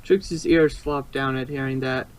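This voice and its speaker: A man's voice: medium pitch, fairly even intonation, normal speed, clean tone. A man reading fan fiction aloud as an amateur narrator, sometimes stumbling and rereading phrases.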